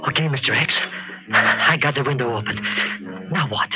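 An actor playing a timid, excitable comic male sidekick with slangy, rambling speech in an old radio drama. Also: mid-range voice, moderate pace, natural voice. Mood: nervous. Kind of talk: old-time radio adventure drama acting. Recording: dull vintage radio recording with music.

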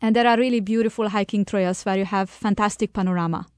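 A woman's voice: medium pitch, steady tone, quick pace, clear, resonant tone, no echo. A woman from northern Hungary with a Hungarian accent, a radio interview guest who speaks carefully and agrees emphatically.

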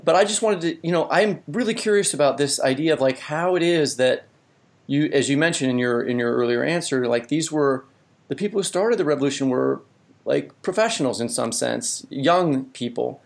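A man hosting a conversational interview, feeling his way haltingly through his questions. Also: medium pitch, vocal fry. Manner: halting, searching for phrasing. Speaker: podcast host.

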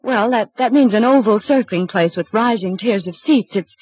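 A woman's voice: medium pitch, normal speed, a little mellow, breathy edge, no echo, lightly acted. A woman playing a sensible, bookish young city woman who reasons like a schoolteacher, in old-time radio drama style. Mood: calm, informative. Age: young adult. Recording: muffled, noisy old radio recording.

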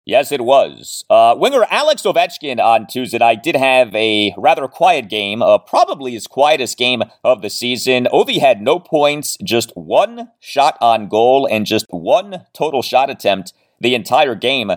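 Man with big pitch swings recapping sports. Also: very animated, measured pace, few pauses. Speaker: sports podcast host. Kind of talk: sports recap commentary with stats.

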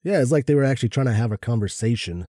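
Very mellow, dark-voiced man. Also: medium pitch, animated, racing, dry recording, studio quality. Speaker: podcast co-host. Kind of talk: casual chat reading notes aloud.

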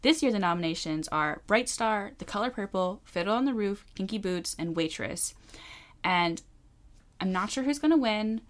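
A young woman with a calm, soothing voice, chatting casually as a podcast co-host. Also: medium pitch, moderate pace. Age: young adult. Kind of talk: casual pop culture podcast chat.

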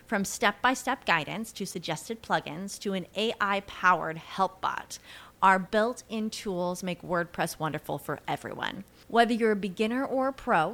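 Casting: woman, mid-pitched voice, scripted commercial narration for a product. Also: a bit bright. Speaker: advertising announcer.

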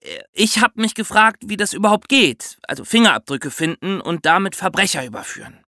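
A man's voice: high-pitched, big pitch swings, a little fast, pushed and bright, no room sound, studio quality, heavily acted. A young man playing a curious podcast co-host, explaining true crimes in a scripted show for children. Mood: curious, amazed.

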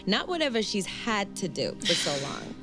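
A woman's voice: medium pitch, wildly swooping pitch, moderate pace, music in the background. An American actress playing a supportive, affectionately bossy female friend in an audio drama. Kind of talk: naturalistic audio drama acting.

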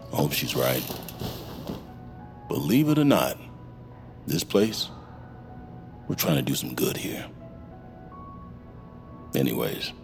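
Audio drama role: weary institutional guard, male, bottomless bass, slow plain-spoken delivery, gruff. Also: exaggerated voice. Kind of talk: audio fiction acting.

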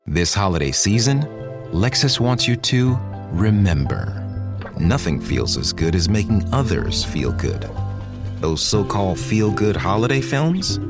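A man narrating a polished holiday car commercial in a smooth sales read. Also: on the low side, very animated, measured pace. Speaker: ad voice-over. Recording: studio ad over loud music.

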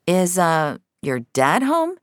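A woman acts out a tough, sardonic heroine of an audio drama. She can turn halting and socially awkward or dryly defiant. Her pitch moves around in a lively way, in a dry, close recording. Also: clear, resonant tone, no echo, exaggerated voice. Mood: awkward, flustered.

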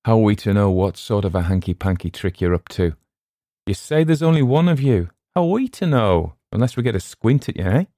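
A man's voice: lowish voice, sing-song, fairly quick, dark tone, no room sound, clean studio sound, pitched above the performer's own voice. A man voicing a blunt, suspicious but kindly sailor in a storybook, brusque and colloquial. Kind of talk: storybook character voice.

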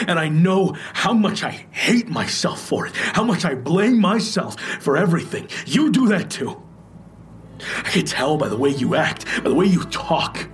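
An actor in an audio drama playing an intense, wounded trauma survivor, speaking in an earnest, insistent, confessional way. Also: male voice, a little high. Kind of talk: naturalistic audio drama acting.

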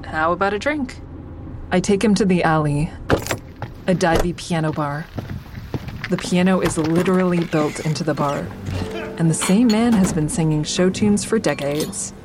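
A woman's voice: fairly low pitch, moderate pace, long pauses, close to an everyday voice. A woman playing an awkward, smitten survivor who narrates her own story in an audio drama, confiding and self-deprecating. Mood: curious, confiding.

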